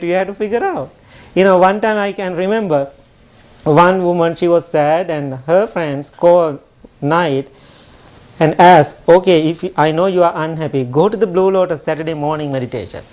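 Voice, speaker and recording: A man from Sri Lanka, a Buddhist monk giving a dharma talk, often asking "right?" and laughing lightly. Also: high voice. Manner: warm rhetorical asides, often asks "right?", laughs lightly. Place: temple hall.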